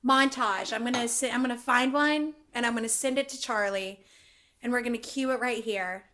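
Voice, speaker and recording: A loud, excitable woman co-hosting a casual chat podcast from a room at home. She swears freely and talks over her co-host. Her voice sits a little high. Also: normal speed. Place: home room, live-streaming.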